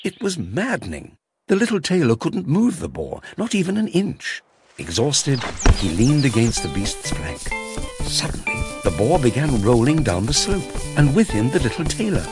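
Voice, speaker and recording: A man narrating a children's fairy tale in an expressive, theatrical storytelling manner. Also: medium pitch, normal speed. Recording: music behind the voice. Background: music.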